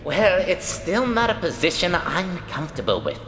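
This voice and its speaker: A woman's voice: lowish voice, huge pitch swings, normal speed, fairly bright tone. A woman acting in a radio play as an anxious but defiant captive who argues in precise, formal logic. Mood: prim disapproval. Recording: long reverb, music behind. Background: music.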